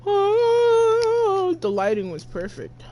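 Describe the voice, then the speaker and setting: A teenage game player reacts casually in a medium-pitched voice. The talk is slow, slangy and full of muttered exclamations. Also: expressive. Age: teens. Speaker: gamer reacting to a game's story.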